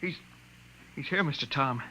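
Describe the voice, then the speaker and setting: A man's voice: medium pitch, normal speed, close to an everyday voice. A man acting a nervous, deferential office underling in a mid-century radio drama, on a muffled, noisy vintage recording. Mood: excited, nervous.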